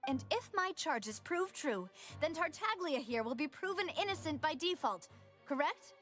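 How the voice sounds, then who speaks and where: A woman's voice: sits high, big pitch swings, normal speed, a bit bright, soft background music. A woman playing a bold, defiant young noblewoman in a video game, assertive, articulate and dramatic.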